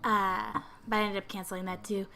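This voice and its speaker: A young woman with a hoarse, raspy voice, still recovering from being sick, rambling unscripted in a vlog and laughing often. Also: mid-range voice, level tone, brisk, slight background noise. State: hoarse after illness. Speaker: video creator. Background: loud music.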